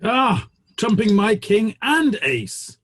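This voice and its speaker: A man in a play reading, playing a genial, teasing Victorian theatre manager with a husky voice. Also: high voice, very animated, slow pace, fairly bright tone, dry recording, full character voice.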